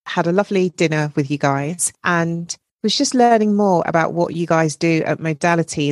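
A woman's voice: low voice, dry recording, clean studio sound. A woman hosting a podcast, asking questions in a friendly, chatty way. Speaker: podcast host. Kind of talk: podcast interviewing.

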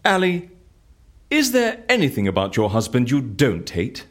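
Businesslike, wry female official for audio drama; notably low register, wildly varied intonation. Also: wildly swooping pitch, normal speed, exaggerated voice. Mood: curious, scheming. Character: wry, officious woman running a secret disappearance service.

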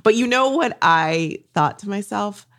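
A woman's voice: medium pitch, expressive, a little slow, fairly bright tone. A woman hosting a podcast about selling on social media, enthusiastic and rambling with many restarts. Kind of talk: podcast chat.

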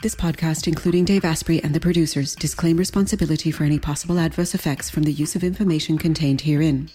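A woman announcer reading a legal disclaimer in a brisk, even manner. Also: sits low, husky. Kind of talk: scripted read disclaimer.

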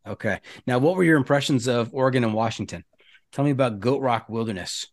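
A man's voice: medium pitch, fast, no room sound. A man with an American accent hosting a casual interview, asking curious questions and bantering with his guest. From United States. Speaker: podcast host. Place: studio.

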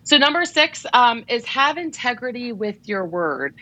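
Bright, affirming woman podcast co-host. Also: a little high, sing-song, measured pace, no room sound. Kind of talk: casual podcast chat giving advice.

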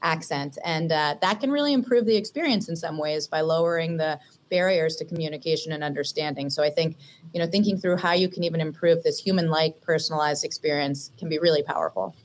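A woman with a Californian accent and a notably low voice speaks on a panel. She talks in a measured, unhurried way, leaning on "you know" and "um". Faint noise lies behind her. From western United States. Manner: measured, filler-heavy. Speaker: investor panelist. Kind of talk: panel discussion.

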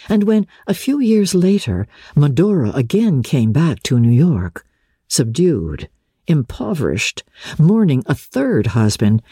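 A woman narrating classic literature as a dramatic reading, with expressive, measured storytelling. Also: deep voice, husky, no room sound, studio quality. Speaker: audiobook narrator.

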